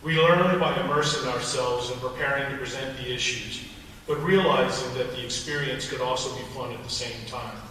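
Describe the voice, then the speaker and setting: Hungarian-born guy giving a memorial speech, bright tone, echoey hall. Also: medium pitch, slight background noise. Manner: measured, earnest reading pace. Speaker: alumnus and college trustee speaking at a memorial. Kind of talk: prepared memorial tribute and poem reading. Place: large hall at a memorial service. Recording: distant echoey hall recording.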